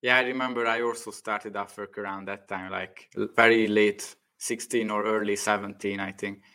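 A man's voice: on the low side, level tone, moderate pace, pushed and bright. A man hosting a podcast interview in a casual chat, with many hesitant fillers. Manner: many "uh" fillers, loose phrasing. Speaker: podcast host.

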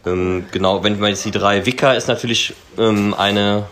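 A man's voice: fairly low pitch, steady tone, normal speed. A man interviewing casually for a podcast, friendly, with somewhat hesitant phrasing. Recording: crowd chatter behind. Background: crowd.

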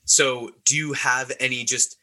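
Bright-voiced young American man. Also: medium pitch, steady tone, unhurried. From New York, USA. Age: young adult. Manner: chatty, many fillers and restarts. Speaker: podcast co-host. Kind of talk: casual chat.

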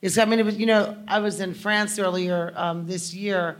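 A woman moderates a conference panel, posing long, winding questions. She talks conversationally and leans into emphatic asides. Her voice sits at a middle pitch. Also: steady tone, normal speed.

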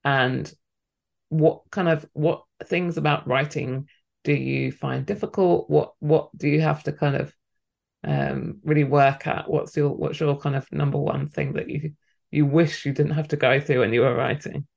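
Gravelly-voiced male podcast host. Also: fairly high pitch, steady tone, unhurried, darkish tone. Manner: friendly, rambling questions with fillers. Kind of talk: casual interview chat.

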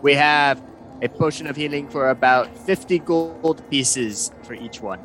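A man's voice: on the high side, measured pace, a bit bright, faint music behind. A man running a tabletop game as game master, narrating loosely with many fillers.

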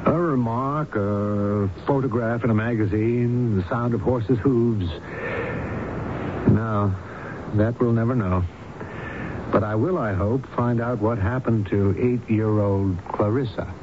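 An actor playing a calm, probing doctor and hypnotist in 1970s radio drama style, speaking in a measured, reassuring way. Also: male voice, lowish voice. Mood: pensive. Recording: dull noisy old radio broadcast recording.

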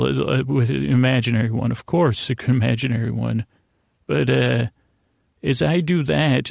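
A man hosting a bedtime podcast in a rambling, halting monologue, stammering and interrupting himself with whimsical silliness. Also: medium pitch, dry recording, clean studio sound. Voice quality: gravelly, creaky. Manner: whimsical, silly wordplay. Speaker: podcast host.

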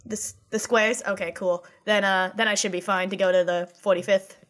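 A woman's voice: mid-range voice, steady tone, a little fast, fairly bright tone. Chatty young woman playing a tabletop game. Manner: chatty, thinks aloud, laughs. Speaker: player.

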